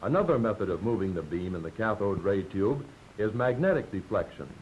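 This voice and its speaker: Animated 1940s American training film narrator, male. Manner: measured, didactic, clearly explanatory. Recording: dull, noisy 1940s film soundtrack with rumble.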